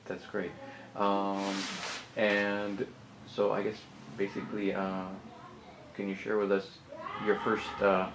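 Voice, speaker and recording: A man's voice: on the low side, completely flat delivery, slow pace, pauses often. A man interviewing a guest, encouraging him with frequent murmurs and follow-up questions. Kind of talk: casual interview. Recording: echoey room, faint hiss.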